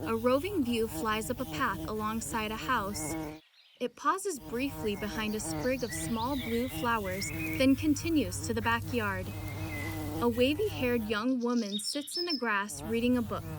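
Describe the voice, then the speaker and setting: A woman reading scripted audio description in a measured, descriptive way. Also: on the high side, a crowd in the background. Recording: loud music under the narration. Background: children's music.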